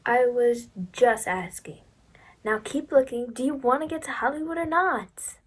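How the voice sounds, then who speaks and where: A woman's voice: high-pitched, measured pace, slightly rough. A woman playing an impatient, bossy schemer in a 1940s radio play. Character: impatient, bossy schemer hunting hidden money.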